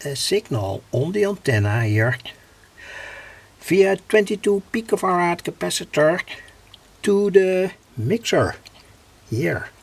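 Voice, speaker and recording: A man with a husky voice walks through a homemade project in an informal, technical way. He takes his time, breaking sentences into short halting pieces, and his tone has a gravelly creak to it. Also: high-pitched, big pitch swings. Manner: halting, piecemeal phrasing with pauses. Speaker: hobby electronics video maker. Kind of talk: informal hands-on technical explanation.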